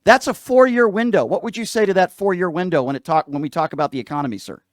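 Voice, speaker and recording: A man's voice: high voice, fast, no room sound, studio quality. A man hosting a live call-in show, debating politics in an argumentative, insistent way and repeating phrases for emphasis.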